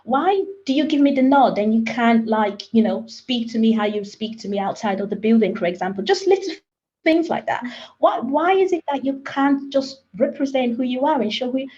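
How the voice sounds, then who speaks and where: A woman who grew up in Nigeria, speaking as a panelist on a webinar, emphatic and lively, firing off questions. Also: on the high side, normal speed. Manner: animated, emphatic, with rising questions. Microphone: video call. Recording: lo-fi video call audio.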